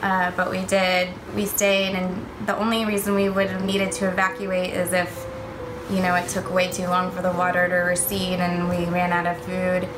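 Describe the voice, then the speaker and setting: A woman's voice: lowish voice, monotone, moderate pace. A woman recounting her own experience as a mother, in a candid, conversational way. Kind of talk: candid personal storytelling. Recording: echoey room with clear background noise.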